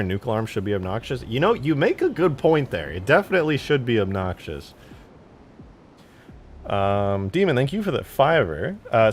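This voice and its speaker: A man live streaming as he works on a game mod, chatting casually with viewers and muttering his steps aloud in fragments. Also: medium pitch. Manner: thinks aloud in short fragments, muttering to himself while working. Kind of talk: casual live stream chat while working.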